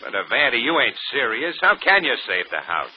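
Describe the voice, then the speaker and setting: A man plays a scoffing old vaudevillian in a 1940s radio drama. His rough talk swings up and down, and the broadcast is muffled. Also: mid-range voice, sing-song, a little fast, slight background noise, close to an everyday voice. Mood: skeptical, scoffing. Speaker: actor.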